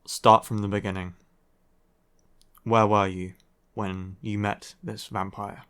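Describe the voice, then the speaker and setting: A man's voice: lowish voice, level tone, slow, a bit bright, smooth. A man playing a grumpy, weary young vampire in an audio roleplay, curt and sardonic with heavy sighs. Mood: weary, impatient. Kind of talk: roleplay audio acting, ASMR.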